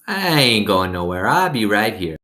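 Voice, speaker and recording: A man's voice: lowish voice, sing-song, bright, forceful tone. A man voicing a kindly old woman with failing eyes and folksy rural speech in a storybook read-aloud. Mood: affectionate. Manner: folksy rural dialect, drawn-out phrases.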